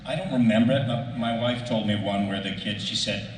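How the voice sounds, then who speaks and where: A middle-aged man speaking casually on a panel, searching for his words in a halting way. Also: fairly low pitch. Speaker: voice actor panelist. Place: large convention panel room. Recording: echoey hall, distant mic, faint noise.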